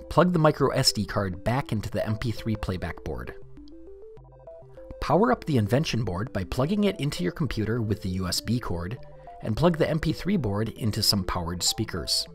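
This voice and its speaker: Male how-to narrator, lowish register, animated inflection, scripted step-by-step read over faint music. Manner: clear step-by-step instructional delivery. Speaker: tutorial narrator. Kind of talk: scripted DIY tutorial narration.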